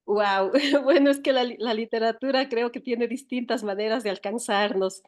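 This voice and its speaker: A woman's voice: a little high, moderate pace. A woman with an Ecuadorian accent telling stories as an interview guest, often searching for her words and repeating them. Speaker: interview guest, writer and teacher. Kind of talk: conversational interview storytelling.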